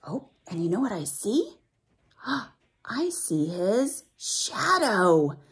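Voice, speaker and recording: Slow-paced woman reading aloud to children. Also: mid-range voice, husky. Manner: simple teacherly phrasing with frequent questions to the listener; drawn-out, expressive reading of the story's rhymes. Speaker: storyteller reading a picture book to children. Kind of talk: animated read-aloud with explanatory asides for young children.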